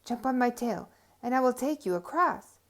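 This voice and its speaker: A woman's voice: a little high, animated, moderate pace. Woman voicing a sly, polite storybook fox. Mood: polite, coaxing. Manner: polite, smooth coaxing. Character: sly, polite fox, coaxing and helpful-seeming.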